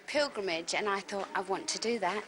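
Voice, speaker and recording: A woman's voice: medium pitch, a little fast, a bit bright. A woman from London, a pilgrim speaking chattily and candidly.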